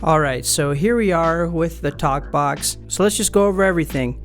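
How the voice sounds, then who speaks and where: A man's voice: fairly high pitch, normal speed. A man hosting his own music channel, casually explaining equipment settings step by step. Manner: relaxed, explains step by step with fillers. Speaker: music gear channel host. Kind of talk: casual gear tutorial.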